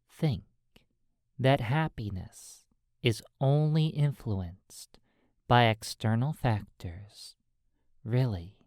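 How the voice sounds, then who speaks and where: Slow, measured meditation guide. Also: mid-range voice, darkish tone, no room sound, studio quality. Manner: measured, deliberate short phrases with long pauses.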